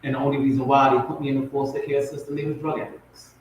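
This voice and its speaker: A man's voice: mid-range voice, little pitch movement, fast talker. A man telling his own story informally as a guest poet, emphatic and repeating phrases for weight. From raised in Compton, California and Portmore, Jamaica. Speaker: spoken word poet, guest on a talk show. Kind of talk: personal testimony, informal storytelling. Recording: very echoey, distant, noisy audio.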